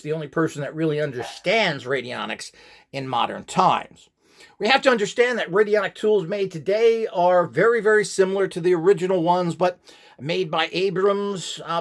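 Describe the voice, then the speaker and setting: Man, slightly high pitch, gravelly fry, informal instructor, rambling lecture, emphatic, opinionated. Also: fairly bright tone. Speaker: instructor in radionics.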